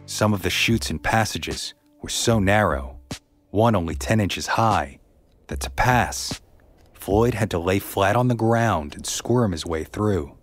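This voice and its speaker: A man narrating a scripted true story as a podcast host, in a measured, somber way. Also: fairly low pitch, lively intonation, faint music behind.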